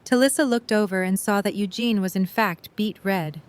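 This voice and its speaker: A woman's voice: mid-range voice, normal speed. A woman reading scripted story narration for an audio drama.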